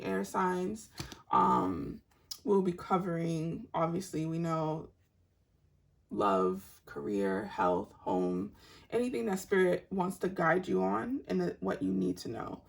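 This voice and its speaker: A woman giving a tarot reading to her online audience in a soft, meandering, spiritual way, with a raspy voice. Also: fairly low pitch. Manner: soft-spoken and meandering, unhurried spiritual talk. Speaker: tarot reader.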